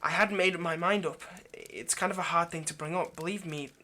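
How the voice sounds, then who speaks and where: A bright, forward voice over clear background noise. It is a man acting as an ancient male vampire, anxious and lovesick, in an intimate audio roleplay. He coaxes and rambles haltingly, speaking straight to his captive. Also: on the high side. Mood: nervous, rambling. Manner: hesitant, halting, rambling, coaxing. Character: anxious, lovesick seven-hundred-year-old male vampire kidnapper. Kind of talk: intimate ASMR roleplay monologue addressed to the listener.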